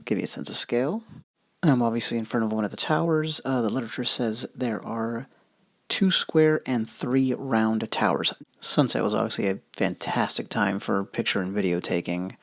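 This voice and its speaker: A man narrating his own travel footage over the video, relaxed and conversational, with fillers and asides. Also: lowish voice, creaky, no room sound, clean studio sound. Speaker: history video host. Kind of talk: casual narrated travel commentary. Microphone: voice-over recorded separately.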